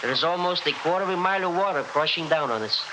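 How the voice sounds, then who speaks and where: A man's voice: on the high side, animated, fast, natural voice. Man acting a clipped, earnest young scientist. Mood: earnest, controlled. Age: young adult. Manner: clipped, matter-of-fact. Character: earnest young scientist, coolly obedient deep-sea diver. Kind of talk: 1960s radio drama acting. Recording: noisy old radio recording.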